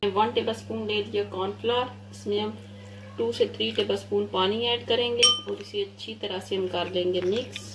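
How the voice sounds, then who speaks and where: A woman's voice: medium pitch, normal speed, noisy background. Woman explaining cooking step by step. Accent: Pakistani Urdu. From Pakistan. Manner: calm, repetitive, pointing things out to viewers. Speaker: home cooking channel host. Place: home kitchen. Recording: kitchen clatter of dishes and pans.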